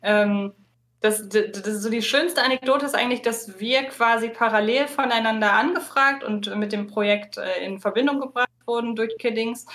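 A woman's voice: on the high side, moderate pace, fairly bright tone. A woman in a casual interview, explaining things at length in long, detailed sentences as a podcast co-host. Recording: roomy, echoey sound.

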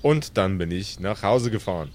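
A man's voice: on the low side, expressive, moderate pace, darkish tone, slight background noise, voice pushed down, close to an everyday voice. A man voicing an oddly formal, robotic impostor who poses as human, speaking stiffly and over-politely in an improvised audio role-play. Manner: stilted, over-polite, over-literal.

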